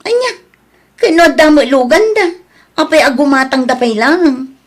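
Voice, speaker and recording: A woman playing an indignant, suspicious grown daughter in an audio drama, firing off sharp questions. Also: high-pitched, animated, unhurried. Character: indignant, suspicious daughter challenging her father.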